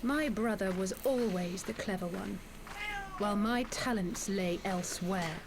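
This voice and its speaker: A woman's voice: medium pitch, a little slow, background noise, natural voice. A woman playing a proud, resolute young swordswoman in a video game, speaking formally and dramatically. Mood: defiant. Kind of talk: video game cutscene acting.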